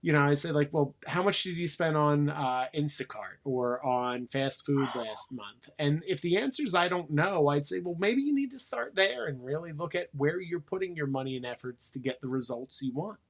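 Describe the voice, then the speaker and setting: A man's voice: fairly high pitch, lively intonation, moderate pace, few pauses. American man, candid and conversational. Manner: candid, colloquial, explanatory. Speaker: podcast guest, coach. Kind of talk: casual conversational storytelling.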